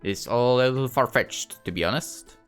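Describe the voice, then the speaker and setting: A woman voicing a polite, bewildered young man in a visual novel, measured and slightly dry. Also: extremely low pitch, clear, resonant tone, faint music behind. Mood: puzzled, reserved. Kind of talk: first-person game dialogue reading.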